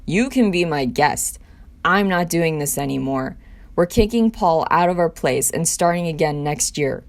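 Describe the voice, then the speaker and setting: A woman's voice: deep voice, expressive, a little slow. A woman reading the part of a bitter, accusing sixteen-year-old boy in a scripted scene. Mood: angry, forceful. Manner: accusing, insistent. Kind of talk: scripted scene table read.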